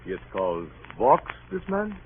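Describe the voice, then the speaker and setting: A sarcastic dutch official, lowish voice that swoops around, fake polite, old crackly radio play. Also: male voice, huge pitch swings. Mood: mocking. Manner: deliberate, mock-polite, foreign phrasing with inverted grammar. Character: fussy, sardonic Dutch colonial port inspector. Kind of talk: old-time radio drama acting. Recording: scratchy, tinny old radio broadcast.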